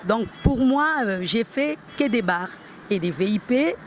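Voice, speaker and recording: A woman's voice: fairly high pitch, animated, measured pace, slight background noise. A woman from Congo with a Congolese French accent, a shop owner answering interview questions hesitantly, with many fillers. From Republic of the Congo.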